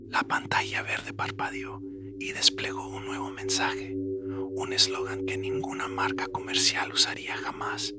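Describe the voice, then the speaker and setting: A man with a whispery, breathy voice narrating a scripted horror story in a suspenseful storytelling manner. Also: deep voice. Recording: loud music bed under the voice. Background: loud atmospheric music.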